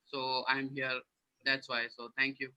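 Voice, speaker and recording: A man introducing himself at a workshop, earnest and flat. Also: medium pitch, measured pace, smooth, dry recording, lo-fi sound. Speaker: workshop participant. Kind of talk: self-introduction.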